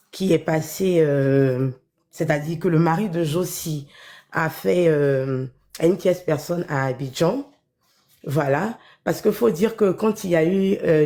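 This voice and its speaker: Gravelly, flu-weakened woman caller. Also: sits low, warm tone. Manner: halting, many fillers. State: has the flu, weak voice. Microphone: phone.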